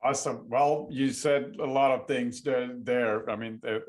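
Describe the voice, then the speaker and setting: A man's voice: medium pitch, fairly even intonation, normal speed, a little mellow. A man hosting an interview, asking loose questions full of fillers. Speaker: podcast host.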